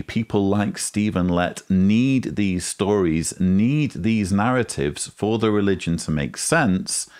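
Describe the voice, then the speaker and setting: Casting: male commentator, forties, very deep voice, measured explainer delivery, close studio mic. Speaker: host, commentator. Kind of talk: critical video commentary to camera. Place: small indoor room with bookshelves. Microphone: studio mic on boom arm, close.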